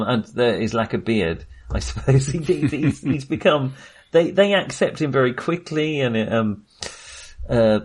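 A man's voice: mid-range voice, breathy edge. A man hosting a casual film discussion, wry and amused, rambling and hesitant as he trails off mid-sentence. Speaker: film podcast host.

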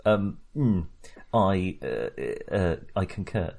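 Low, animated man talking films. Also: slow pace, creaky. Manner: opinionated, emphatic, bluntly critical. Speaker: film podcast co-host. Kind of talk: casual film discussion.

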